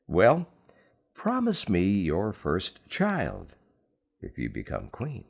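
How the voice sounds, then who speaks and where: A man voicing a sly, gleeful, bargaining little goblin-like man in a storybook, with drawn-out, insistent, theatrical delivery. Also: low voice, wildly swooping pitch, creaky, breathy edge, heavily acted.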